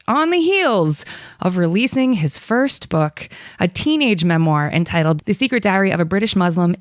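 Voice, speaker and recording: A woman's voice: on the low side, dry recording, clean studio sound. A woman hosting a podcast, introducing her guest and chatting with a playful, teasing manner. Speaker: podcast host. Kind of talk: reading a guest introduction, then casual chat.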